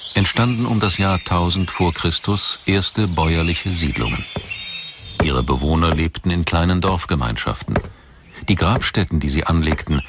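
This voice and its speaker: A man narrating a scripted documentary in a measured, articulate manner. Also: deep voice, noisy background.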